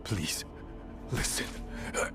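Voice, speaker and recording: Man acting slow, weary, urgent survivor. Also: loud music behind the voice, close to an everyday voice. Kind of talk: dramatic video game dialogue.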